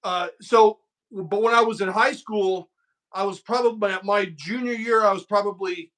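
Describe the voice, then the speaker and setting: A man's voice: sits high, fairly even intonation, normal speed, fairly bright tone. A man in his fifties hosting a casual conversation, telling loose stories and swearing freely. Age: 50s. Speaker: host.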